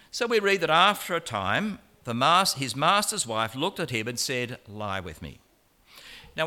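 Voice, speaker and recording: A man's voice: a little high, sharp tone. A man preaching a conversational sermon, with wry colloquial humor and rhetorical questions and repeated phrases for emphasis. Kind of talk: conversational sermon on a Bible passage.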